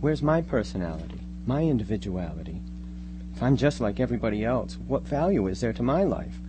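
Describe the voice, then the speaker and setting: A man's voice: medium pitch, very animated, a little fast, background noise. A man playing an anxious, earnest young son in a live radio drama. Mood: worried, earnest. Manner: earnest, worried.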